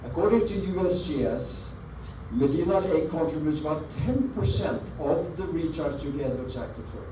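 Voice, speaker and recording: A man from Norway with a Norwegian accent, giving an earnest, measured presentation over slides at a community meeting and stressing key words. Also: a little high, animated. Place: meeting room with an audience. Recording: echoey meeting room, mic at a distance, audible background noise.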